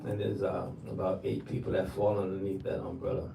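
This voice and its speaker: A very deep-voiced older man from Jamaica answers interview questions about his DJ work in a relaxed, repetitive way. He sits back from the mic in an echoey room. Steady background noise runs under his voice. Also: a little fast, vocal fry. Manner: relaxed, repeats words for emphasis. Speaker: DJ guest. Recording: roomy, distant, noisy livestream audio.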